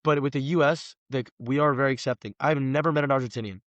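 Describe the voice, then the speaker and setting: Fast-talking young man, dry close mic. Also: medium pitch, fairly even intonation, no room sound. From United States, Los Angeles. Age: 20s. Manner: rambling, emphatic, many "like" fillers. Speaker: podcast guest. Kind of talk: casual conversation.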